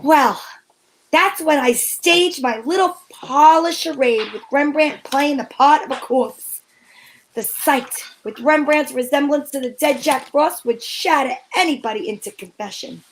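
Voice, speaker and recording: A breezy female private eye acted out by a woman narrates her case in old-time radio detective style. Her voice sits high, and her telling is wry, playful and a little theatrical. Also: measured pace, slight background noise, low-quality recording. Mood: matter-of-fact, wry.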